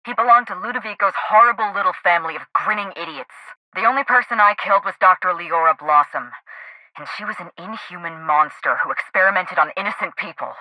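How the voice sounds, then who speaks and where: A woman's voice: fairly low pitch, fairly quick, bright, forceful tone, dry recording, low-quality recording. An actress playing a sardonic, grieving woman out to avenge her sister in a video game, speaking articulately with dry, controlled sarcasm. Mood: bitter, accusing. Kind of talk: video game dialogue acting.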